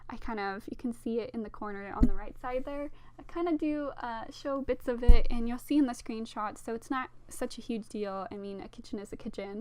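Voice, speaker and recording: A young woman with a sore throat, slightly hoarse, casually narrating a game build in a rambling, self-deprecating way with lots of fillers. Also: a little high, no room sound. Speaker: Sims speed-build narrator. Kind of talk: casual voice-over commentary.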